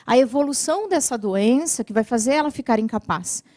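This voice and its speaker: A Brazilian woman answering viewers' questions about benefits in a TV interview, explaining patiently and clearly, often adding "né". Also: on the high side, expressive, fairly quick, warm tone, no room sound, clean studio sound. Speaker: social security lawyer guest. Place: TV studio.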